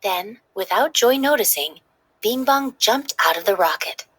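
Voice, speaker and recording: A woman narrating a children's story in an expressive, dramatic read-aloud style. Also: medium pitch, unhurried, slightly rough.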